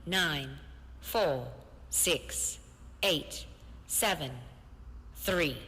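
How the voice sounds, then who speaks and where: Library computer voice, slow n formal, bright, big echoey reverb. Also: female voice, sits low, exaggerated voice. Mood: impersonal, flat. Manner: flat, halting, formal announcements. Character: library machine voice reading out archive records, impersonal. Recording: long hall-like reverb.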